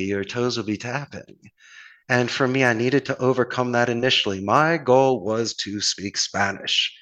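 A man with a Philadelphia accent giving friendly, rambling advice in a casual chat. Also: medium pitch. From Philadelphia area, United States. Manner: animated, folksy, encouraging, rambling with restarts.

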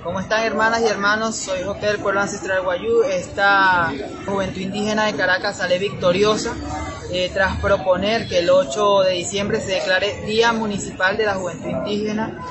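A man's voice: sits high, flat delivery, normal speed, crowd noise. A young man giving a rallying public address as a youth activist. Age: young adult.

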